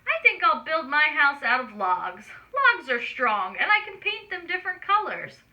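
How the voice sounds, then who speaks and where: A woman's voice: high-pitched, very animated, normal speed, highs cut off, pitched above the performer's own voice. A woman voicing a creative, easygoing young boy piglet in a storybook for children. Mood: dreamy, upbeat.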